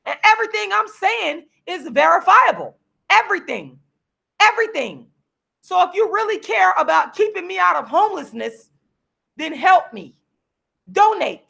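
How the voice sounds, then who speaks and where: A woman in her forties, losing her voice, delivering a heated, repetitive, preachy rant straight to the camera while she sits signing books, sometimes breaking into shouts. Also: very high-pitched, big pitch swings, moderate pace, gravelly. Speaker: vlogger and activist. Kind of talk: impassioned direct-to-camera rant. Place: seated at home signing books.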